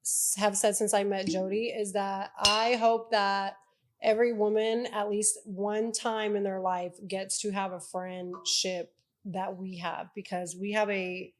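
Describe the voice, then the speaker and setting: A woman's voice: mid-range voice, little pitch movement, a little slow, slight background noise. Playful teasing woman in her thirties. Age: 30s. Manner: teasing, playful, finishes her friend's sentences. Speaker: podcast co-host. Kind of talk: casual chat.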